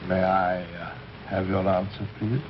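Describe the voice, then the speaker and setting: A man's voice: deep voice, little pitch movement, measured pace. An actor in an old radio drama playing an urbane alien recruiter, polite and pompous, hesitating and rambling as he talks. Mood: politely menacing. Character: urbane, genteel alien recruiter posing as an Earthman. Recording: muffled, hissy old radio recording.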